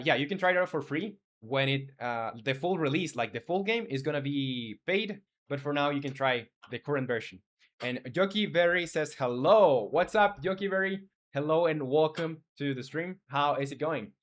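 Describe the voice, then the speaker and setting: A man's voice: a little high, animated, normal speed. Casual man chatting on stream. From Chile. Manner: informal, hesitant, reads viewer messages aloud and replies. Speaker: game developer live streamer. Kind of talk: casual livestream chat.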